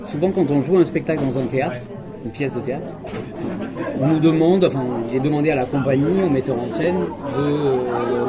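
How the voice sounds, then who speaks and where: A man, a stage actor and reader from France, talking casually in an interview, with lots of fillers and restarts. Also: mid-range voice. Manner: chatty, many fillers and restarts. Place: large hall with a crowd. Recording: loud crowd chatter behind.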